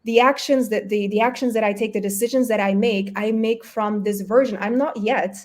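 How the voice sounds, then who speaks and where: A woman's voice: medium pitch, fairly even intonation, a little fast, darkish tone. Chatty woman, native German and Polish speaker. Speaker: guest, health coach and entrepreneur. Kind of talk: casual interview talk.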